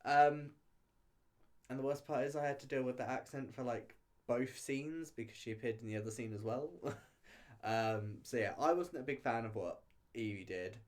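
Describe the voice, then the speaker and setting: A man's voice: medium pitch, moderate pace, darkish tone. A man giving a casual, chatty video review, talking in a hesitant, rambling way with frequent hedging and self-interruption. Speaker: reality show reviewer.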